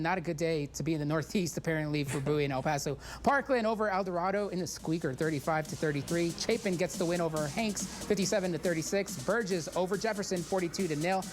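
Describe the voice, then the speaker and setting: Hoarse, fast-talking sports anchor man. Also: sits high. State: hoarse, losing his voice. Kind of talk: local TV sportscast.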